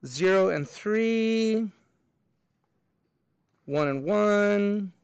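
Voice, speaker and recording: High-voiced Texan math teacher. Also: male voice, expressive, extremely slow, smooth, dry recording. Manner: chatty, joking asides to students. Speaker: middle school math teacher. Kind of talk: classroom teaching. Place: school classroom.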